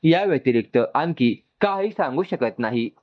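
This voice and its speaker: A man's voice: a little high, animated, moderate pace, lo-fi sound, close to an everyday voice. A man voicing a defiant, exasperated young woman with firm, curt retorts, in a narrated family story. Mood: hurt, tearful.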